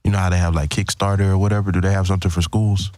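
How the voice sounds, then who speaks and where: A man's voice: sits low, little pitch movement, racing, darkish tone, no echo, clean studio sound. A man in a casual podcast discussion, informal and loose as he puts questions and topics to the others. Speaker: podcast co-host.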